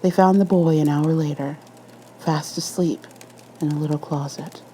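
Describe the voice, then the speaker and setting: A woman's voice: low voice, steady tone, normal speed, warm tone, slight background noise, rough recording. A woman reading a scripted horror story as an ASMR narrator.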